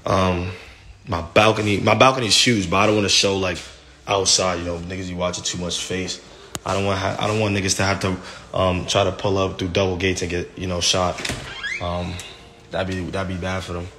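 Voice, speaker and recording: A man's voice: deep voice, brisk, a little hiss or hum. A young man livestreaming as he walks through an empty house, talking casually in slang with lots of swearing and hype, into a phone held at arm's length. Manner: excitable, slangy, swears a lot, hypes things up. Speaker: livestreamer giving a house tour. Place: large empty house indoors, walking between rooms.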